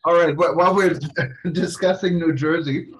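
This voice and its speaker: A man's voice: fairly high pitch, fairly even intonation, moderate pace, a little hiss or hum, poor audio. A man hosting a livestream from his home, making casual announcements to viewers. He talks in a chatty way, stumbles over his words and keeps apologizing. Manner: chatty, apologetic, self-correcting. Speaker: host.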